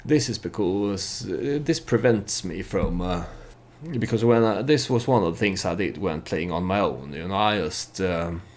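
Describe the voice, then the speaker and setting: A man giving casual storytelling commentary over a strategy game, hesitant and halting as he thinks aloud. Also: deep voice. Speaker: let's play YouTuber narrating his strategy game.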